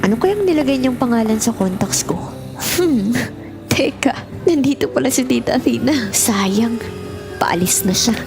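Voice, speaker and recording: High-pitched, playful Filipina café girl. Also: lively intonation, moderate pace, vocal fry. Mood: friendly, curious. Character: young café worker woman, playful, easily flustered. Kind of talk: Filipino audio drama acting. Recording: music behind the voice.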